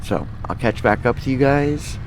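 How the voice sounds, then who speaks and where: A man's voice: mid-range voice, moderate pace. Man narrating gameplay, faint background noise. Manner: thinks aloud, narrating each step. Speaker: gaming video host. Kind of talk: casual gameplay commentary with roleplay.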